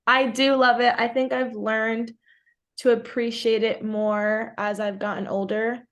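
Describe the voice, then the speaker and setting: A woman's voice: a little high, little pitch movement, measured pace, a bit bright. A woman in her twenties from New York, whose first language is Portuguese, chatting casually as a podcast guest and saying "like" a lot. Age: 20s. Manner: chatty, fills speech with "like". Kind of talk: casual interview chat.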